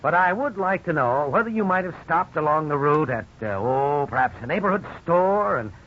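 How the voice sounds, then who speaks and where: An actor playing a probing, politely insistent trial lawyer in a 1950s radio drama. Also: male voice, a little high, animated, moderate pace. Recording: dull, hissy 1950s radio recording.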